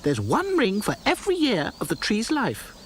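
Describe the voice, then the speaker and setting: A man voicing a clever, bossy girl rag doll who speaks briskly and surely. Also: sits high, wildly swooping pitch, a bit bright, a little hiss or hum, pitched above the performer's own voice, heavily acted. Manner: brisk and sure of herself.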